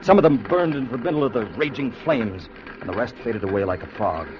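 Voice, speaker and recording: An actor playing an earnest, protective time traveller who narrates with solemn, theatrical intensity in a 1950s radio drama. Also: male voice, medium pitch, lively intonation, fairly quick, noisy background, natural voice. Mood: grave, suspenseful. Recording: muffled vintage radio recording with music.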